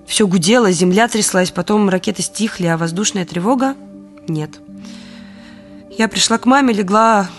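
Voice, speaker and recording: A weary, wry woman confides her wartime diary in an audio drama, performed by an actress. Her voice sits at a middle pitch and tells it intimately in the first person, with music underneath. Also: close to an everyday voice. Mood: tense, weary.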